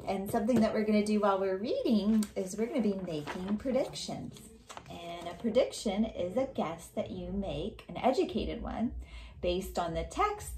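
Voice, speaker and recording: Female teacher, mid-pitched, explaining a read-aloud lesson simply to young students. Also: mellow, roomy sound, slight background noise.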